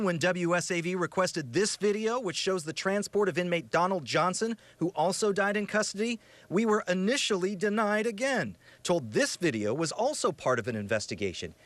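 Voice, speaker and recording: An American man reporting investigative news in a scripted, emphatic and measured broadcast delivery. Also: sits high, a bit bright, no room sound.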